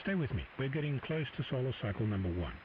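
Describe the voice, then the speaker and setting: A man's voice: medium pitch, sing-song. Man narrating through shortwave static. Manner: measured, explanatory. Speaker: presenter of a radio segment. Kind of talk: scripted educational narration. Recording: muffled shortwave broadcast with static.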